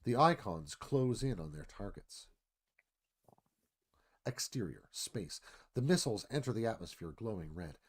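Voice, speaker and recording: A man's voice: lowish voice, very animated, measured pace, long pauses, slightly rough. A man reading dramatic scripted narration as screenplay narrator, in clipped phrases.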